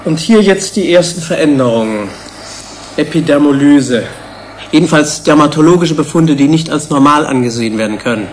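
An actor playing a calm, factual researcher in a German radio play, reporting in clipped phrases. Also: male voice, a little high, moderate pace, a little hiss or hum, close to an everyday voice. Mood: clinical. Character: calm, factual male researcher presenting findings.

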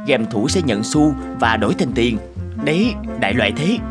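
A man voicing a polite, patient young man who explains things in an animated cartoon. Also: medium pitch, moderate pace, over music, close to an everyday voice.

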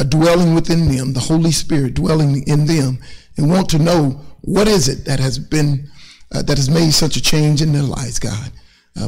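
A middle-aged man, a church minister, speaking in a halting, earnest way as he addresses his congregation and prays. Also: fairly high pitch, gravelly, slightly rough, poor audio. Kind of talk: closing announcements and prayer. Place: indoors in an empty church sanctuary.

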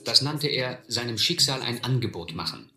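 An actor playing a reflective man recalling his runaway youth, narrating a radio play in measured, literary sentences. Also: mid-range voice, expressive, roomy sound, crowd noise, natural voice. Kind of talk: first-person scripted narration in German radio play.

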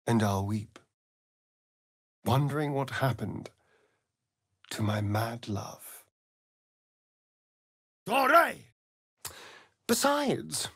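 A man's voice: fairly high pitch, a bit bright, dry recording, exaggerated voice. An actor playing a vain, sly male vampire rogue in a video game, with a British accent and an arch, melodramatic, catty delivery. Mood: wistful, intimate. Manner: arch, drawling, melodramatic, dry wit. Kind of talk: dramatic video game acting.

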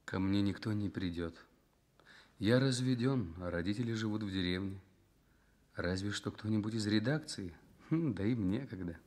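An actor in a Soviet radio drama playing a lonely, gentle, courteous journalist in a hospital bed, quietly in love. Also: male voice, on the low side, moderate pace, close to an everyday voice. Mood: resigned.

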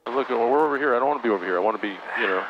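A man coaching a student pilot in a helicopter cockpit, giving calm, coaxing instructions in short commands over a headset intercom. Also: mid-range voice, very fast. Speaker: flight instructor. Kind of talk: in-flight coaching. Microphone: aviation headset intercom. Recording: muffled intercom audio with engine noise.

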